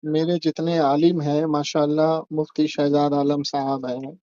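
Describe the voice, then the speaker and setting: A man in his forties with a distinctly high voice speaks as a religious scholar on a phone call. The sound is dry, with no room around it. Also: level tone, measured pace, warm tone, smooth, dry recording. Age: 40s. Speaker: religious scholar taking calls from the public. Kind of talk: phone conversation, religious commentary.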